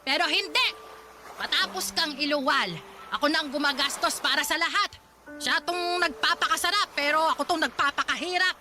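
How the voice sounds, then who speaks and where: A woman's voice: high-pitched, a little fast, sharp tone, a little hiss or hum. An actress in a radio drama playing a cruel, pious, stingy old grandmother who scolds and nags. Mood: angry, nagging. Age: older. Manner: scolding, sanctimonious, nagging. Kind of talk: Tagalog radio drama acting.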